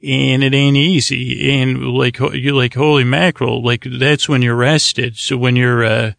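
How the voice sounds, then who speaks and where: A man hosting a sleep podcast in a rambling monologue, halting and drifting into tangents, with a creaky, gravelly voice. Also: mid-range voice, level tone, fairly bright tone, no echo, studio quality. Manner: meandering, halting, trails off into tangents. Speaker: podcast host.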